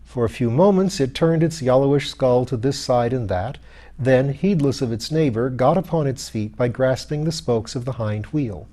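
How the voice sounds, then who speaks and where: A man's voice: mid-range voice, normal speed, mellow. A man reading literary fiction aloud as an audiobook narrator. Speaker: audiobook reader.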